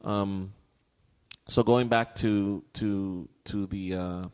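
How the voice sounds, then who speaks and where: Middle aged guy chatting on a podcast, lowish voice, thoughtful and halting, big echoey reverb. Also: steady tone, darkish tone, clear, resonant tone. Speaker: podcast co-host. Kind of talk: casual conversational podcast chat.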